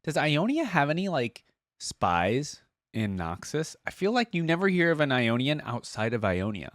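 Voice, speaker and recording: A man's voice: fairly high pitch, big pitch swings, moderate pace, a bit bright, dry recording, studio quality. A man giving casual reaction commentary on game voice lines as a host, musing aloud in loose, informal fragments.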